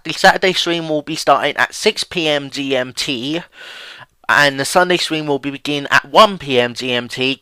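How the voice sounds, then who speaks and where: A man's voice: on the high side, pushed and bright, clear, resonant tone, dry recording. A young man hosting a let's play with casual, self-deprecating game commentary. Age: young adult. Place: voice-over with game music behind.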